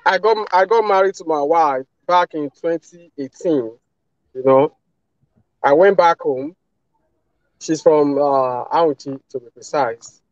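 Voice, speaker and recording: A Nigerian man in his thirties talking casually as a guest on a live video call, relaxed, with many "you know" fillers. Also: a little high, unhurried, dry recording, muffled recording. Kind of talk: casual testimonial. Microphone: phone video call.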